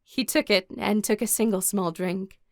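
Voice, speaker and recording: A woman's voice: medium pitch, fairly even intonation, moderate pace, no echo, studio quality, natural voice. A woman playing a composed, caring teenage warrior girl, narrating an audio drama in the first person and speaking her dialogue.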